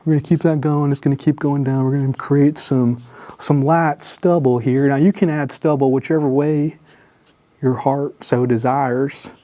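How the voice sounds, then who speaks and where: A man leading a drawing tutorial in a jokey, rambling way, full of gentle encouragement and little asides. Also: medium pitch, steady tone, normal speed, dry recording. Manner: drops to whispers while concentrating on drawing. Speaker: drawing instructor.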